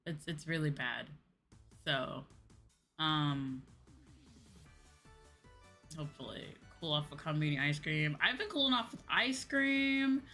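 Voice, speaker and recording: Bright-voiced woman chatting casually on livestream. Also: deep voice, takes it slowly, pauses often. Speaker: VTuber streamer hosting a chat stream. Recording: background music behind voice. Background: music.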